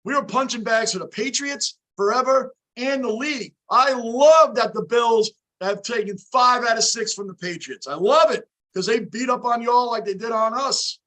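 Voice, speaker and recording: A loud, emotional man in his fifties hosts a sports fan livestream, reading the chat aloud. His voice sits high and bright, though it sounds tired and worn out. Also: moderate pace, hardly any pauses, low-quality recording. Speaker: livestream host.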